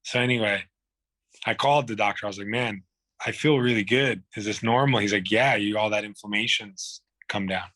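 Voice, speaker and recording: A man's voice: on the low side, fairly quick, a bit bright, no echo. A man, telling his own illness story in a blunt, profane, rambling way. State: hoarse. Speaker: guest. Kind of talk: candid personal storytelling.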